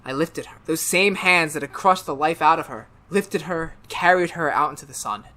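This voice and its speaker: A man playing a tormented, guilt-ridden murderer in melodramatic, feverish 1930s-style radio horror, swinging from hushed to shouting. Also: a little high, normal speed. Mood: grim, broken. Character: tormented guilt-ridden male murderer hounded by phantom voices.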